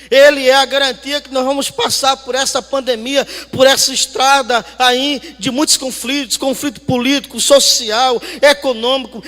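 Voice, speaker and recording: Reverberant church hall, male pastor, bright forceful voice, emphatic sermon delivery, repeated phrases. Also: very high-pitched. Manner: emphatic, repeats phrases for emphasis, swings between conversational and declamatory. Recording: echoey hall with long reverb.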